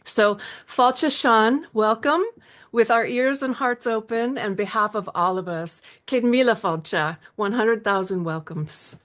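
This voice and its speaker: A woman hosting an online gathering who gives a guest a warm ceremonial welcome. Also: fairly high pitch, a little slow. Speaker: event host. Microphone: video call.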